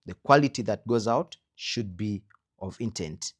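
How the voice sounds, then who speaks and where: A man's voice: on the low side, expressive. Ugandan man giving earnest instruction. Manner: earnest advising with rhetorical questions and emphatic pauses. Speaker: trainer in creative production quality control. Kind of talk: instructional talk.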